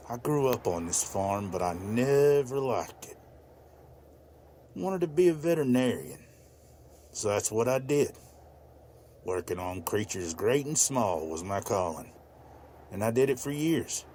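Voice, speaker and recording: Actor as a deep-voiced older farmer, plainspoken. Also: male voice, a little mellow, a little hiss or hum. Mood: wistful. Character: weary older widowed male farmer, former vet, plainspoken. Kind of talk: voice acting for a motion comic.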